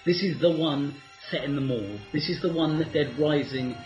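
A man's voice: on the high side, moderate pace, darkish tone, highs cut off, soft background music. A British man co-hosting a casual podcast chat, talking enthusiastically with frequent ums. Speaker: co-host.

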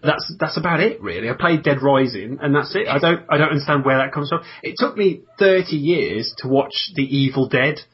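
A man in his thirties hosting a casual podcast, with a husky, slightly breathy voice at a middle pitch. He chats in a self-deprecating way and often starts his sentences over. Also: brisk, few pauses, highs cut off. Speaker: podcast host.

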